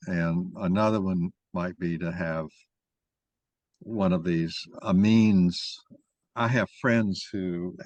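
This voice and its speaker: A man with a very deep voice leads an informal discussion. He rambles and halts as he thinks aloud. The sound is very dry, with no room around it. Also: darkish tone, dry recording, dull audio. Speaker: moderator of an online mock trial.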